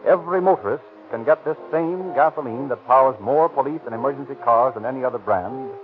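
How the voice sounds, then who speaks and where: A man announcing a 1930s radio crime show, formal and persuasive in his sales pitch. The sound is narrow and phone-like, as from an old broadcast, with faint music. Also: fairly quick. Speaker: announcer and narrator.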